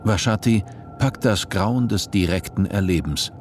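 An actor as the narrator of a dystopian radio play, reading scripted prose in a measured, deliberate way. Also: male voice, deep voice, soft background music. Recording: noisy vehicle-like ambience behind.

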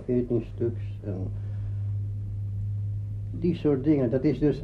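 An older man reminisces conversationally as an interviewed writer. His voice has a medium male pitch. The archive recording is dull, with a light noise behind him. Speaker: radio writer being interviewed. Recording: muffled archive tape, faint noise.

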